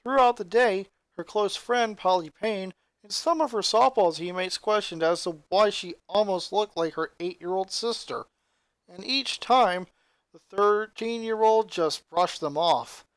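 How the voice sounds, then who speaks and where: A narrator reading scripted fan fiction aloud in an expressive storytelling style. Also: medium pitch, unhurried, smooth, no echo.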